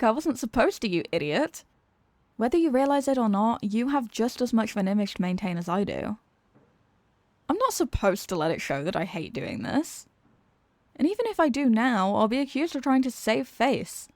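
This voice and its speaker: A woman's voice: mid-range voice, a little mellow, no room sound, natural voice. A woman playing an exasperated, sarcastic supervillain who is secretly weary of evil, in a theatrical comedic ASMR roleplay monologue.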